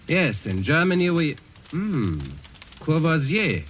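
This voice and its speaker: An actor playing a haughty, impatient German Nazi official in a 1940s radio drama, condescending and commanding. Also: male voice, on the high side, very animated, slow, a bit bright, heavily acted. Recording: noisy old radio recording.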